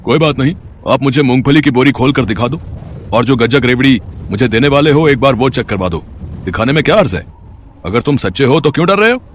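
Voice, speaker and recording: A man's voice: medium pitch, expressive, a little fast, natural voice. A man playing a wealthy, charitable merchant with a businesslike manner in a moral story. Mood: firm. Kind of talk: moral-story cartoon acting. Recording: faint background noise.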